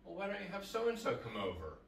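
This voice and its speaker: A man's voice: high voice, wildly swooping pitch, fast, gravelly, slight background noise. A man in his thirties making casual, offhand conversation in an apartment, picked up by someone else's phone from across the room. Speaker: vlogger's housemate.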